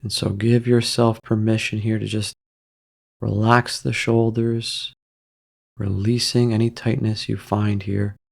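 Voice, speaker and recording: Slow, low-voiced man guiding meditation. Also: creaky. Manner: calm, gentle, measured with long pauses. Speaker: guided meditation host. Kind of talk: guided meditation narration, soft instruction.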